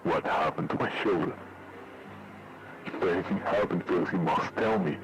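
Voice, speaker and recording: A man's voice: deep voice, moderate pace, husky, dry recording, rough recording. An actor playing a distraught, pleading father leaving an answering machine message in a horror video. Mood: anxious, pleading. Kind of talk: horror voice acting.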